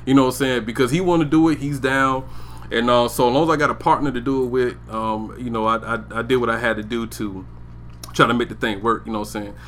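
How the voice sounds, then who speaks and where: A man's voice: medium pitch, steady tone, fast, a bit bright, a little hiss or hum. Laid-back man rambling on a podcast. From Georgia, USA. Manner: laid-back slang, keeps saying "you know what I'm saying". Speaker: podcast co-host. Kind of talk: casual chat.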